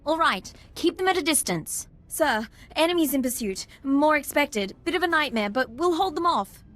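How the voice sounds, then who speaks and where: An actress playing a brisk, dryly wry female military squad leader in a video game. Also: high-pitched, expressive, fairly bright tone. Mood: urgent, steady.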